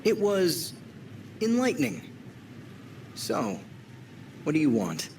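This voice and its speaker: An actor playing a guarded, sharp-tongued teenage vigilante in a video game. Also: male voice, fairly high pitch, wildly swooping pitch, slow, heavily acted. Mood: wary, sarcastic. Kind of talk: conversational video game acting. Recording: clear background noise.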